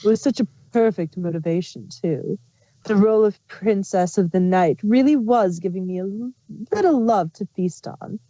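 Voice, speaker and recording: A woman's voice: mid-range voice, normal speed, mellow, close to an everyday voice. Woman playing a sly changeling queen, muffled and roomy. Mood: smug. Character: changeling queen villain, sly, wistful, confessing deception. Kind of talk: amateur fan fiction reading. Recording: muffled, roomy home recording.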